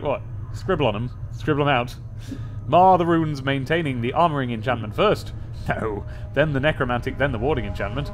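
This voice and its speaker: Male let's play host, young, chatty and expressive, mid-pitched, close mic with soft game music underneath. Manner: chatty, thinking aloud, playfully expressive. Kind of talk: gaming commentary mixed with dramatic reading of game text. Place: small indoor room at a desk. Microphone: close studio mic on an arm.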